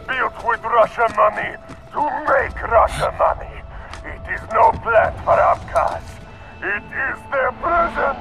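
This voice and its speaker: An actor voicing an unhinged, fanatical militant villain in a video game, theatrical, vengeful and taunting. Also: male voice, very high-pitched, very animated, takes it slowly, creaky, full character voice. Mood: defiant, fanatical. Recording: very loud music over voice.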